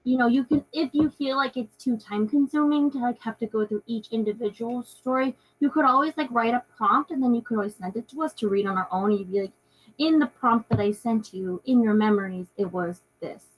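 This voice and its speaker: Woman gamer chatting, bit high but husky voice, upbeat and agreeable. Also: a little fast, lo-fi sound. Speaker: tabletop game player. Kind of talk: casual chat.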